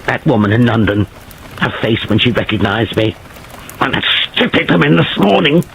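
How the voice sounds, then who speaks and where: A man voicing an unhinged young man who talks like a child, his voice climbing high and gleeful. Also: expressive, moderate pace, gravelly, fairly bright tone, slight background noise, low-quality recording. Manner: childlike and gleeful, rising shrilly.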